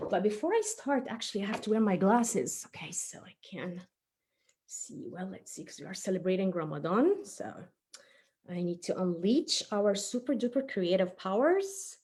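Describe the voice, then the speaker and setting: A woman presents a craft tutorial for young children. Her voice moves animatedly up and down in pitch as she encourages her viewers. She is playful and stresses words for little listeners, talking as if she were one of the group. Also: a little mellow.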